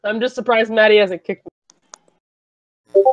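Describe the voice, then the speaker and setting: A woman's voice: mid-range voice, steady tone, unhurried, no echo. A woman hosting a live stream in casual chat, with a teasing, mock-scolding way of talking. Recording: dull, lo-fi microphone.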